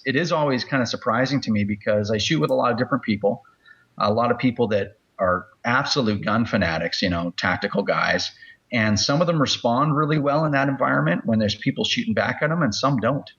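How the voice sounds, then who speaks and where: A man's voice: medium pitch, lively intonation, brisk, a bit bright, breathy edge. Canadian man chatting, slightly dull audio. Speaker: podcast guest. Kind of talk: casual interview chat.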